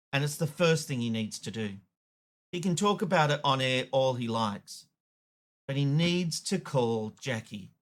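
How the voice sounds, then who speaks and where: A man's voice: on the high side, a bit bright. A man hosting a show, delivering opinionated, emphatic editorial commentary. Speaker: show host, media commentator.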